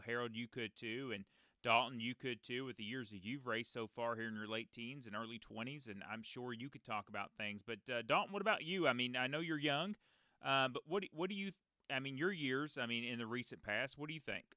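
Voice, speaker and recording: A man in his thirties with a deep voice, a race announcer hosting a casual podcast and telling long stories with many fillers. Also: bright, forceful tone, no room sound, clean studio sound. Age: 30s. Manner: rambling storyteller, many fillers and restarts.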